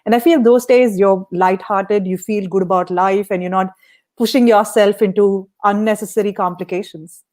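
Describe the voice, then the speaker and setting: A woman's voice: medium pitch, steady tone, normal speed, a little mellow. A woman podcast host interviewing in a conversational style, reflecting on what the guest says with appreciative, affirming replies.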